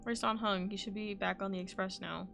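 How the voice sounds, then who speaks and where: A young woman streams a story game and reacts in a casual, chatty way. Her voice has a gravelly fry and a bright, sharp edge, with faint game music underneath. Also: medium pitch, steady tone, fairly quick. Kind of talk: casual gaming commentary.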